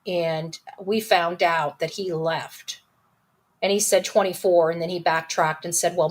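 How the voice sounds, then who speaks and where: A woman hosting a true crime show in a casual, opinionated way, reading aloud and reacting for her viewers, often repeating phrases for emphasis. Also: lowish voice.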